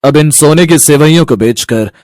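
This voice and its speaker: A man's voice: fairly high pitch, moderate pace, darkish tone, no echo, close to an everyday voice. A man voicing a benevolent divine voice in a storybook tale, solemn and kindly. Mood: solemn blessing. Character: benevolent divine voice rewarding a good woman.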